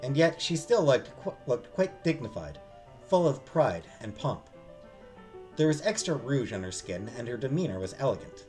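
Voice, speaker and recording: A man's voice: mid-range voice, big pitch swings, normal speed, a little mellow, faint music behind. A man streaming a game, reading the story aloud expressively and dropping into casual asides.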